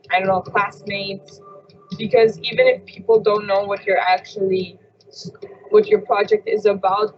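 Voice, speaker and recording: A teenage girl student speaking in a measured, thoughtful way. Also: mid-range voice. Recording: dull lo-fi audio with ambient noise.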